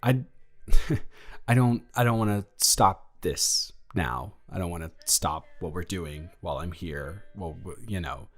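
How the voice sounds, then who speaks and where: A man playing an awkward, affectionate high school boy in a romantic audio roleplay, speaking in a rambling, stammering way. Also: a bit bright, faint music behind. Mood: flustered, affectionate. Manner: halting, rambling, stammering, self-deprecating.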